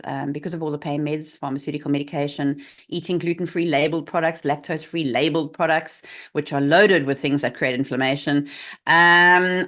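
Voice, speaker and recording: Low-voiced South African woman. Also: fairly bright tone. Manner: candid, storytelling, many fillers. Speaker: guest. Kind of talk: interview over video call.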